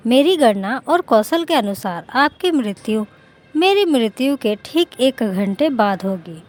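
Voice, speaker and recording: Calm wise sage, read by a woman narrator. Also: on the high side. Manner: calm, measured. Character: calm, wise male sage and fortune teller.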